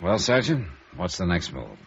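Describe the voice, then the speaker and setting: A man's voice: deep voice, very animated, normal speed, gravelly, fairly bright tone, slightly rough, heavily acted. An American man acting a tough, probing private investigator in a 1950s radio noir, narrating and questioning in a clipped, sardonic way. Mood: brisk, intrigued. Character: tough, probing male freelance insurance investigator who narrates. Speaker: lead actor in a radio detective series. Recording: dull, noisy old radio recording.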